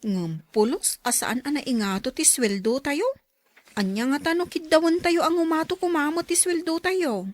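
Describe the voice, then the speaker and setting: A woman's voice: high-pitched, moderate pace, lightly acted. An actress playing a discontented, plaintive female household servant in a radio drama. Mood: complaining. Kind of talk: Ilocano radio drama acting.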